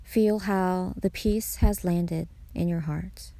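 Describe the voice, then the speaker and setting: Slow, very mellow woman guiding meditation. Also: fairly low pitch, fairly even intonation, no room sound. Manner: soothing, pausing between short phrases. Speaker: meditation guide, podcast host. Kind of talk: guided meditation narration.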